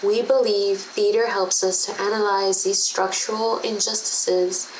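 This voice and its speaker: A woman's voice: mid-range voice, level tone, a little slow. A young adult woman reading a formal mission statement aloud as a co-founder of the group. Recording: echoing room with faint noise.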